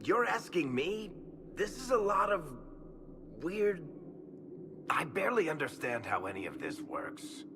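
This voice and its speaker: A man's voice: on the high side, big pitch swings, a little slow, pauses often. An actor playing a baffled, informal young man in a fantasy video game, casual and out of his depth. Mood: bewildered. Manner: casual, bewildered. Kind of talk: video game dialogue acting. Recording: clear ambient noise behind voice.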